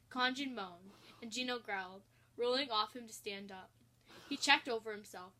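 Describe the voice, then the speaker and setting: Bright, high-voiced woman reading a story. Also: slight background noise. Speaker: fan fiction narrator. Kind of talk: reading a comic story aloud.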